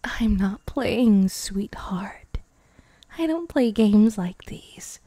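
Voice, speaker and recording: Casting: woman playing a young vampire bride, possessive and dangerous, slow and breathy, intimate ASMR roleplay delivery. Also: medium pitch, expressive, mellow, no room sound. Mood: cold, menacing. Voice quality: breathy, often dropping to a whisper. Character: possessive, menacing young female vampire bride, tender with her lover. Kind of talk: ASMR girlfriend roleplay, improvised.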